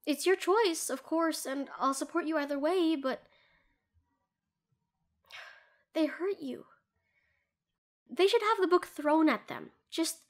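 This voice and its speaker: Casting: actress as a female doctor for intimate audio drama, high voice, earnest, tender and fiercely protective of a hurt ex. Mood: concerned, firm. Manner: earnest, soothing, hushed at times, fierce when protective. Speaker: voice actor in an audio roleplay. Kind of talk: scripted intimate audio roleplay addressed to the listener.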